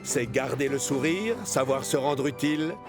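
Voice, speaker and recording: A man narrating a scripted children's cartoon story in an expressive storytelling manner. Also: mid-range voice, normal speed, over loud music.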